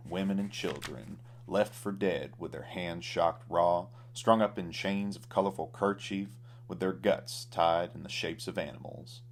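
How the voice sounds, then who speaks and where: A man's voice: sits low, slight background noise. A man narrating a comic Western ballad in a dramatic, rhythmic storytelling manner. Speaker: storyteller, author reading own ballad.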